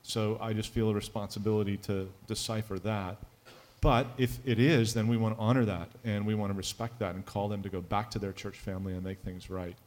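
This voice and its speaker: A man, a pastor, answering a question on a conference panel in a thoughtful, measured way with some halting restarts. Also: on the low side, level tone, a little mellow.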